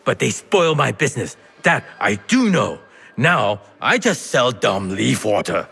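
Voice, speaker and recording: An actor playing a sly, theatrical merchant and smuggler with a teasing, playful manner in a video game. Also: male voice, mid-range voice, sing-song, unhurried, creaky, pushed and bright, slightly rough, exaggerated voice. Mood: wry, scheming. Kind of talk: video game cutscene acting. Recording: music behind the voice.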